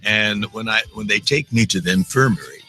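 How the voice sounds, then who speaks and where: An older man in casual chat, speaking haltingly with many restarts while he is losing his voice. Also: on the low side, lively intonation, pushed and bright, husky, faint music behind, rough recording. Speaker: actor guest.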